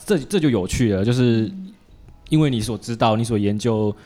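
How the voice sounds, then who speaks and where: A man's voice: medium pitch, normal speed, warm tone, soft background music. A man with a Taiwanese Mandarin accent hosts a casual podcast interview, feeling his way into questions in a hesitant, roundabout manner.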